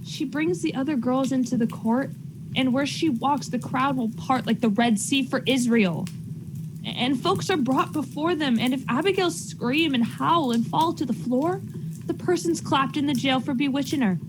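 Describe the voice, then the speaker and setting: A woman's voice: sits high, normal speed, noisy background, natural voice. A woman playing an anxious, insistent Puritan farmer's wife in a radio drama, earnest and pressing in old-fashioned phrasing. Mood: troubled. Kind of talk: period radio drama acting.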